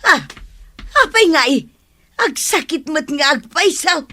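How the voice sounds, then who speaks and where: A woman's voice: high-pitched, lively intonation, measured pace, pushed and bright, heavily acted. A woman playing an anxious, fussing village woman in a comedy radio drama, urgent and exclamatory. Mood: concerned.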